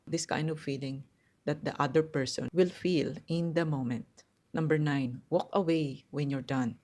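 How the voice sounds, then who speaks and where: Low, hard-voiced middle-aged woman giving advice. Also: steady tone, moderate pace, darkish tone. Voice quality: low, hard and tight voice, mistaken for a man's on the phone. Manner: earnest and direct, with loose non-native phrasing and restarts. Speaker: self-help vlogger. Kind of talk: casual advice talk, counting down a numbered list. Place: quiet spot at home.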